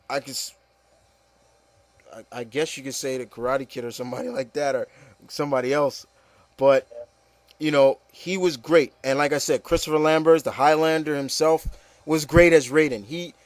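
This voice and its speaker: A man's voice: fairly high pitch, dry recording. A man giving casual, rambling opinion commentary, repeating phrases and addressing listeners emphatically. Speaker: video commentator.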